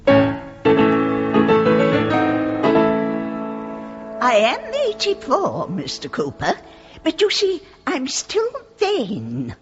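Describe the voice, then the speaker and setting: An older British actress playing a genteel, courteous and spirited widow of eighty-four in a radio play. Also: female voice, sits high, wildly swooping pitch, takes it slowly, loud music behind the voice, heavily acted. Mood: polite, persuasive. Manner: courteous, polite formal address. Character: genteel, chatty 84-year-old widow, vain and spirited. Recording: dull, muffled radio play recording.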